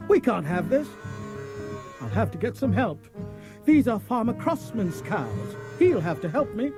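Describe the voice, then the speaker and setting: An exasperated old farmer from a children's storybook. His voice is high and leaps about in pitch, with emphatic, theatrical phrasing. Music plays behind him on the cassette recording. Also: sing-song, measured pace. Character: exasperated but resourceful old farmer and gardener. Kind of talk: audiobook character acting.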